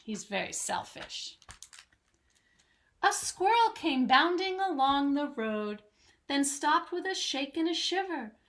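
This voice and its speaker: A woman's voice: high-pitched, sing-song, slow pace, a bit bright. A woman who teaches children, reading a picture book aloud expressively and chatting to young listeners. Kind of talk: children's storytime reading and chat.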